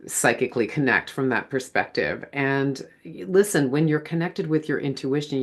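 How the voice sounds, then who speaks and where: Lady vlogger with a low-ish voice for a woman, casual and chatty, playful, expressive. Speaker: host.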